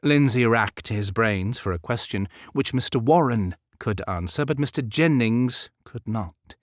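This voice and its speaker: A man reading a historical romance audiobook in a measured, expressive storytelling manner. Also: mid-range voice, no echo, studio quality. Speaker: audiobook narrator. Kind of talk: scripted literary narration of a historical romance.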